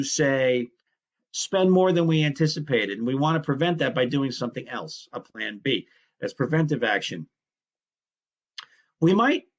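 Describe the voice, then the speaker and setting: Male lecturer, fairly high voice, chatty and explanatory, self-correcting, muffled lo-fi sound. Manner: conversational, explanatory, corrects himself mid-sentence. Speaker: instructor. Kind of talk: recorded lecture on project management.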